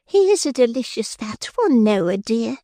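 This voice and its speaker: A man doing a doting falsetto serving girl. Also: sing-song, measured pace, dark tone, no echo, clean studio sound, full character voice. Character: fawning young serving woman.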